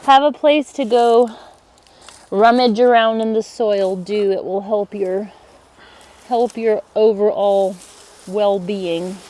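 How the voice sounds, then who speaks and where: A woman's voice: mid-range voice, fairly even intonation, slow, dark tone, low-quality recording. A woman whose first language is a Pennsylvania Dutch German dialect, chatting casually as she hosts a family garden vlog. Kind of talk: casual outdoor chat while gardening. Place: outdoors in a vegetable garden.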